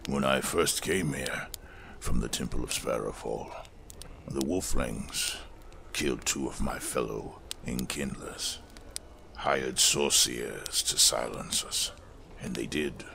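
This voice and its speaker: An actor playing a weathered, solemn smith and former fire preacher in a fantasy audio drama, speaking in a gravelly, measured, reflective way. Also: male voice, a bass voice, pushed and bright, slight background noise, full character voice. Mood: grave, remembering.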